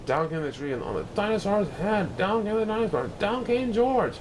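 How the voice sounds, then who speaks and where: Male storybook reader, higher-pitched and very lively, dramatic delivery, noisy recording. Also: moderate pace. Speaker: storybook reader, show host. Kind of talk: animated read-aloud of a children's picture book.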